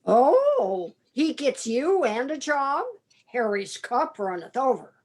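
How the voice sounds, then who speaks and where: A woman's voice: high-pitched, sing-song, slow, a little mellow, heavily acted. A woman playing a folksy, exclamatory uncle in his fifties in an amateur radio play. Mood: jovial. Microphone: video call.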